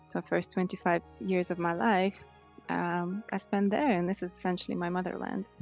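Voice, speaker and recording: A woman's voice: fairly low pitch, level tone, moderate pace, gravelly, a little mellow, dull audio, soft background music. A woman in her thirties with a Russian accent, answering conversationally as a life coach in an interview. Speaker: life coach, podcast guest.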